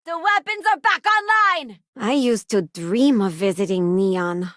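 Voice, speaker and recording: Unhurried, earnest young female space ranger. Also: a little high, lively intonation, fairly bright tone, no room sound, clean studio sound. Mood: urgent, battle-ready. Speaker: voice actress playing a game companion. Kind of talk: scripted video game voice lines.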